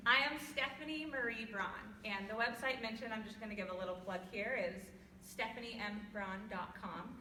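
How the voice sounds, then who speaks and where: Loud young woman in an echoey hall. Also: on the high side, a little fast, hardly any pauses, distant mic, slight background noise. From California. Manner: loud, laughing, self-deprecating. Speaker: guest speaker. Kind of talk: personal talk to an audience. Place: church hall. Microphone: no microphone, far from recorder.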